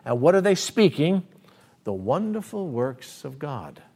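A man. He swings between quick bursts and slow, stressed words, now loud, now dropping low. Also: husky. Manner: animated preaching with sudden swings in pace and loudness. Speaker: pastor. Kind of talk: sermon teaching on scripture.

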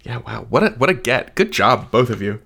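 A man's voice: medium pitch, lively intonation, a little fast, gravelly, sharp tone. A man interviewing guests in a casual, informal and appreciative way, like a fan.

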